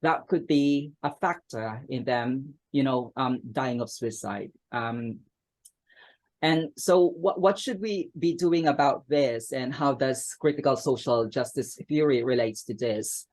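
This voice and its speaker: A man's voice: mid-range voice, a little slow, darkish tone. Hesitant man, many fillers. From Philippines. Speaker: guest author. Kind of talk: podcast interview answers.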